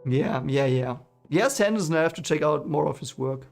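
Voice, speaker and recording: A man's voice: a little high, animated, moderate pace, creaky, a little mellow, faint music behind. A man with a German accent, German his first language, chatting casually with his live chat about a book he is reading, rambling with many fillers and restarts. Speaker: streamer reading a book series.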